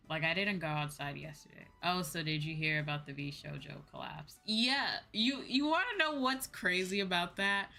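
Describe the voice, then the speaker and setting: A woman's voice: lowish voice, gravelly, pushed and bright. A woman livestreamer chatting casually, in an informal, slangy, ranting way that acts out her own reactions. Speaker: VTuber livestream host.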